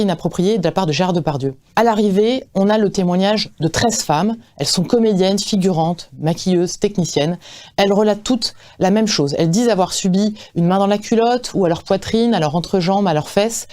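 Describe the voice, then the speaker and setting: A woman journalist explaining an investigation in a measured way with occasional fillers. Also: lowish voice, warm tone. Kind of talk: interview explanation.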